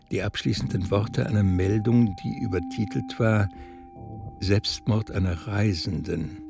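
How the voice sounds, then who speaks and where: A man's voice: on the low side, creaky. A man narrating a literary radio play from a script.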